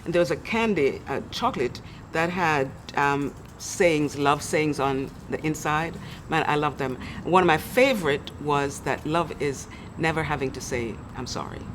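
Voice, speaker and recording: A woman's voice: low voice, moderate pace, hardly any pauses, slight background noise. A woman from Jamaica talking casually about her life, chatty and self-correcting, laughing easily. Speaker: church member sharing her story. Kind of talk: casual personal reflection. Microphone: studio interview mic.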